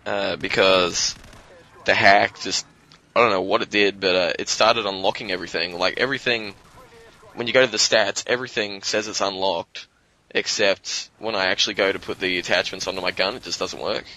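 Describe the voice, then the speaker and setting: A young man from Australia, a guest on a gaming commentary, rambling loosely and swearing, a bit hoarse from a cold. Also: low voice, steady tone, moderate pace, sharp tone, low-quality recording.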